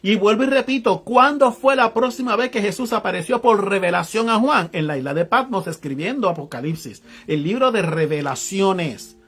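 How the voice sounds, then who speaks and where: A man's voice: high voice, fairly bright tone, husky. A man with a Puerto Rican accent preaching a sermon, reading Bible verses aloud and explaining them in casual everyday slang. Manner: reads verses aloud then explains them in casual local slang, greets online viewers. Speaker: evangelist preacher.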